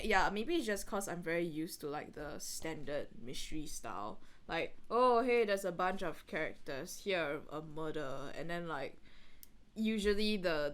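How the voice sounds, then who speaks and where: A lowish-voiced woman co-hosts a casual reading podcast. Her delivery is rambling and giggly, and her pitch moves around in a very lively way. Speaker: podcast host. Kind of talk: casual reading commentary with a co-host.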